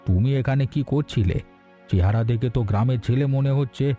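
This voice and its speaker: A man acts out a rich, generous gentleman in a cartoon folk tale. He is warm and benevolent, with a mellow voice over faint music. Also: medium pitch, a little fast, lightly acted. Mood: kindly. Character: kindly, generous wealthy gentleman. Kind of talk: Bengali cartoon fairy-tale voice acting.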